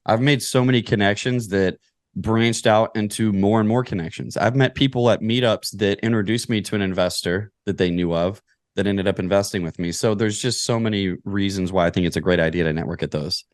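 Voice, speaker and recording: A man's voice: fairly low pitch, fairly even intonation, brisk, dry recording, clean studio sound. An American man from Kentucky answering interview questions as a podcast guest, explaining things methodically in a conversational way. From Kentucky, United States. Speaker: podcast guest, real estate investor.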